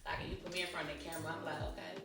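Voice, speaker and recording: A woman's voice: low voice, little pitch movement, racing, creaky. A woman hosting a casual, chatty interview in a slangy, familiar way, often repeating phrases for emphasis. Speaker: interview host. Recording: echoey room, distant mic, music behind.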